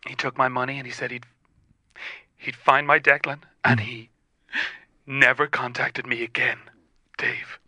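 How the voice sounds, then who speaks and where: Man doing an aggrieved wife, very bright tense tone, dry sound. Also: medium pitch, level tone, a little slow, pauses often, no room sound. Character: wronged wife demanding answers from Santa. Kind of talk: improvised comedy call-in.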